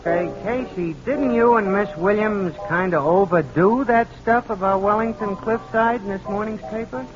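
An actor playing a folksy, wisecracking bartender pal in a 1940s radio drama. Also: male voice, high-pitched, moderate pace, few pauses, natural voice. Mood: chummy, teasing. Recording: muffled vintage radio recording, music behind.

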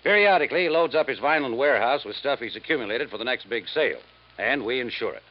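An actor playing a brisk, matter-of-fact insurance man briefing an investigator in a 1950s radio drama. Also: medium pitch, expressive, natural voice. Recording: dull 1950s radio recording.

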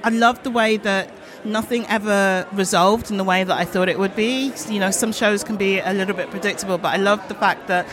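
High-pitched man in a noisy crowd. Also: fairly even intonation, fairly quick. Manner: appreciative. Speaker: audience member. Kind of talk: casual vox pop. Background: crowd chatter.

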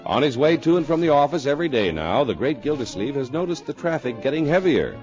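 A man's voice: medium pitch, sing-song, fast, darkish tone. A man announcing a 1950s radio show and reading sponsor copy in a polished, emphatic way. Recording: dull old radio recording. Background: music.

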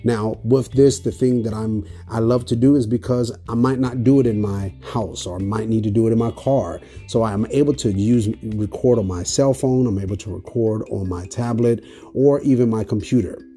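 A man's voice: fairly low pitch, normal speed, warm tone, slightly rough, faint music behind. A man hosting a podcast, speaking in an earnest, emphatic way with repeated phrasing. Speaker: podcast host. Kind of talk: podcast intro and conversation.

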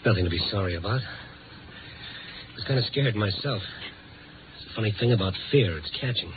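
An American actor playing a tough, wry newspaper reporter in a 1950s radio drama, slangy and protective, sometimes narrating in noir style. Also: male voice, on the low side, animated, normal speed, background noise, lightly acted. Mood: reassuring, gentle. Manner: hard-boiled, slangy. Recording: tinny old 1950s radio recording, music behind.

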